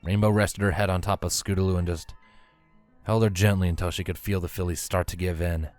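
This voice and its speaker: A man's voice: deep voice, little pitch movement, brisk, darkish tone. A man reading scripted story narration as the narrator, close on the microphone. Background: background music.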